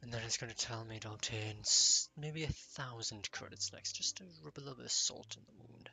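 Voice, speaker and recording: A man's voice: mid-range voice, brisk, creaky, pushed and bright, faint music behind. A man giving casual let's-play commentary in a bored, grumbling manner. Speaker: game streamer.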